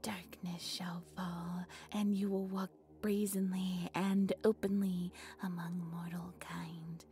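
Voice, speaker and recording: A woman playing an obsessive, devoted female cult leader in a roleplay audio drama, with a breathy, reverent, theatrical delivery. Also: fairly low pitch, flat delivery, slow, hardly any pauses, mellow. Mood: solemn incantation. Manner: breathy, reverent, theatrical incantation with nervous stammers.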